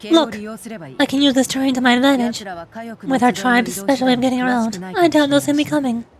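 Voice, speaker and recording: Earnest stubborn young tribe girl, streamer lady reading her lines, high but a bit softer. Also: fairly even intonation, a little fast, crowd noise, lightly acted. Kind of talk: fan reading of game dialogue.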